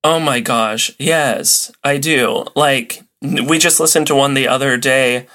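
A man talking casually as a podcast guest, animated as he tells his anecdotes. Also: medium pitch, a little slow. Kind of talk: casual chat.

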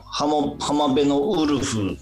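A man's voice: a little high, slow pace, a little mellow, faint music behind. A man chatting casually as an actor guest on a radio show.

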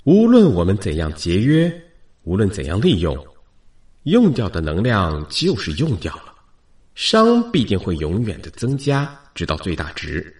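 A man's voice: sits low, huge pitch swings, measured pace, darkish tone, full character voice. A man playing the solemn collective soul of humanity in a science fiction audio drama, grave and pleading. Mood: grave, insistent.

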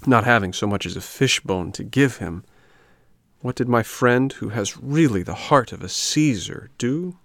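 A man's voice: medium pitch, lively intonation, unhurried, vocal fry, no echo, studio quality. Man voicing a sanctimonious, sly storybook fox. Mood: pious, smooth. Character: sly, falsely sympathetic, sanctimonious male fox.

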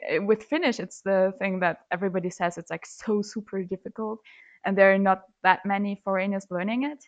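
A young woman from Germany talking casually as a student guest on a podcast, telling things in an animated, conversational way. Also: medium pitch. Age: young adult. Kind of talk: casual chat.

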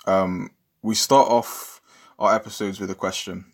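A man's voice: fairly low pitch, fairly even intonation, a little slow, vocal fry. A man hosting a podcast casually, rambling informally and repeating phrases as he thinks. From Bristol, England. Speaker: podcast host.

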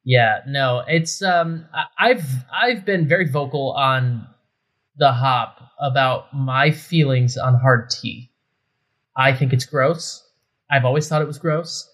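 A man's voice: mid-range voice, slow, a bit bright. A man co-hosting a casual beer podcast, opinionated and emphatic as he rants. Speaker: podcast co-host.

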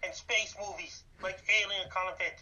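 Flat-voiced Canadian man on a dull phone line. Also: high voice, normal speed, far from the mic. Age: 30s. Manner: repetitive, lists facts and dates. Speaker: guest, YouTuber. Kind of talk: interview answers.

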